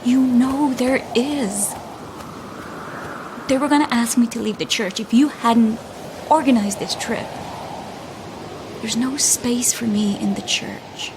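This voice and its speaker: A woman playing a troubled, guilt-ridden young bride in an audio drama, intense and halting, often dropping to whispers. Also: fairly high pitch, noisy background. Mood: anguished, fearful. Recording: clear ambient sound behind voice.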